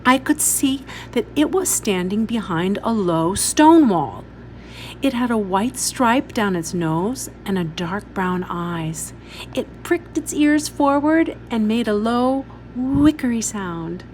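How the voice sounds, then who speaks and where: Woman teacher reading aloud, lively intonation. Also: on the high side, a little hiss or hum. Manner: instructive, pausing to point out details. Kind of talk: expressive read-aloud storytelling.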